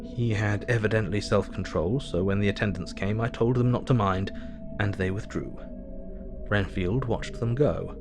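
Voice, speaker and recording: An actor playing a grave, analytical asylum doctor narrating his diary in an audio drama, measured, thoughtful and formal. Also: fairly low pitch, music in the background, natural voice. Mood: pensive.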